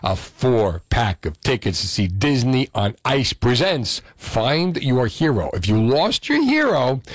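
Animated joking radio host man. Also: mid-range voice, unhurried, dry recording, clean studio sound. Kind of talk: morning radio banter.

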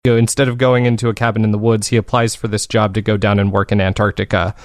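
A man's voice: fairly low pitch, little pitch movement, fast talker, no echo, clean studio sound. A man speaking as an interview guest, explaining his creative work in an earnest, reflective, conversational way. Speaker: guest, fiction podcast creator.

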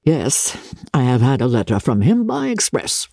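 Audiobook father, played by a woman: older gentleman, dry and resigned, lowered pitch, husky and measured. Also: big pitch swings, no echo, exaggerated voice.

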